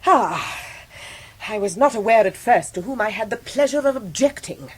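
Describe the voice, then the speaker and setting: Imperious English great-aunt, swooping pitch. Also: female voice, lively intonation, moderate pace, breathy edge. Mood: stiff, haughty. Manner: blunt, emphatic, indignant. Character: brusque, eccentric older great-aunt, fiercely protective, imperious. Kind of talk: 1990s British radio drama acting.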